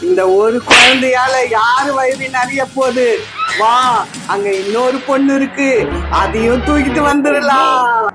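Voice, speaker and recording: A woman voicing a menacing old witch grandmother who eats children, in a horror cartoon with loud music behind. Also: high voice, steady tone. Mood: gloating. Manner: gloating, slow and menacing. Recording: music playing loudly behind.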